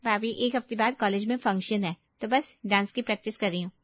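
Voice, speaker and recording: A woman's voice: mid-range voice, brisk, dry recording, dull audio, close to an everyday voice. A woman voicing a teasing, chirpy young college-girl sister-in-law in story dialogue.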